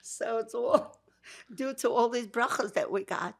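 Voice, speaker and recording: An older woman from Georgia with a Brooklyn accent, recalling her family's past in an interview. She speaks warmly, often laughs, and restarts her sentences. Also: on the high side, measured pace, creaky. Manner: warm, with frequent laughs and restarts. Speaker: guest. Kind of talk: interview, memories.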